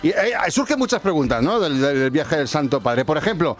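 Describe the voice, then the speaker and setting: A man's voice: on the high side, sing-song, moderate pace. A male radio host running a comic interview, playful, stumbling and repeating his words.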